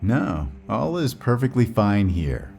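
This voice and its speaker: An actor playing a dotty, sweet elderly widowed father in an audio comedy, with a halting, doddering way of talking. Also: fairly low pitch, big pitch swings, vocal fry, darkish tone, faint music behind, exaggerated voice. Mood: genial, evasive. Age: older. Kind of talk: comedy audio drama acting.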